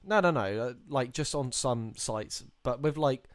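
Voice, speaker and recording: A man's voice: mid-range voice, lively intonation, unhurried, no room sound, clean studio sound. A young man chatting casually as a podcast co-host, explaining things in a rambling way full of fillers. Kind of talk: casual chat.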